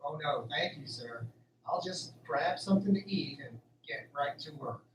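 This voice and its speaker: A man's voice: fairly high pitch, animated, normal speed, close to an everyday voice. A man playing an earnest, uneasy farm worker in a radio drama, plain-spoken and a little hesitant. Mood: nervous politeness. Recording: roomy, distant, faint noise.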